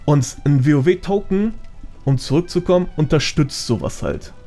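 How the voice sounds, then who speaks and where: A man's voice: a little high, lively intonation, normal speed, mellow. A man with a colloquial, slangy way of explaining things, chatting casually as a guest on a gaming podcast. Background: background music.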